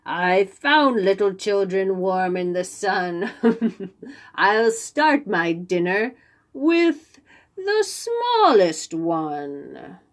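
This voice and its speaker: A hungry storybook giant, played by a woman reading aloud, gloats over his coming dinner. He drags out each word very slowly, relishing the thought of eating. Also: medium pitch, big pitch swings. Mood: gloating. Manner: slow, drawn-out, gloating delivery.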